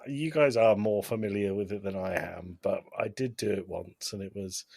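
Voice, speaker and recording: Lowish voiced guy on a podcast, wry casual review chat, very dry recording. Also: normal speed, creaky, a little mellow, slightly rough, dry recording. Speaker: podcast co-host.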